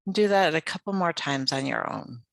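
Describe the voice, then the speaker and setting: A meditation guide leading a yoga nidra practice in a soothing, unhurried, gently inviting way with pauses. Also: medium pitch, gravelly, dry recording.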